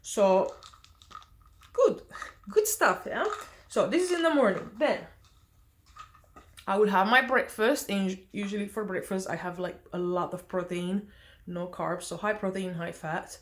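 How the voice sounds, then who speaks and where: A woman's voice: medium pitch, unhurried, pauses often. A young woman from Romania, chatting casually in a vlog, hesitant with many fillers and self-corrections. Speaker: vlogger.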